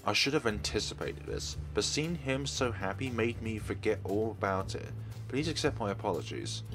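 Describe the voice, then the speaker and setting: A man with a lowish voice reads a visual novel's text aloud as a let's play host, rising and falling a lot in pitch. He breaks off now and then for casual asides, with soft game music playing behind him. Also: expressive, moderate pace, rough recording. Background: faint game music.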